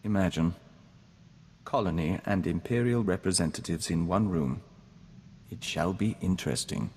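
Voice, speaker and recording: A deep-voiced envoy of a hive mind, serene and devoted. His speech is formal and measured, like a courteous mystic in a sci-fi game. The sound is very reverberant, with some noise behind it. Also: a little mellow. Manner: measured, formal, speaks of himself as we. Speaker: companion character in a video game. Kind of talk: scripted game dialogue.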